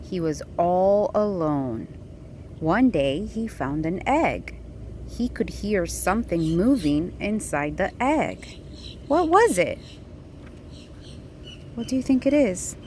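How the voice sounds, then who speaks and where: A woman reads a children's storybook aloud at a slow, patient pace. Her pitch swings widely as she plays up the story for a young listener. There is light noise behind her in the recording. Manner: expressive, asking the child questions. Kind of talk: picture book read-aloud.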